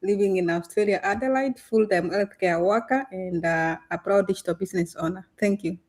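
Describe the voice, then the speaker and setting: A woman from Kenya introduces herself on a live online panel in an upbeat way. Her voice is mellow and warm, and her pitch sits in the middle. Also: brisk. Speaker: panel guest.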